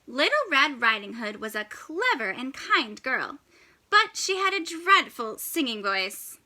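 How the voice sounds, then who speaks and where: A woman reads a story for young children in a high, very bright voice. She takes her time, pausing for drama, and keeps the delivery animated and expressive. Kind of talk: animated children's read-aloud.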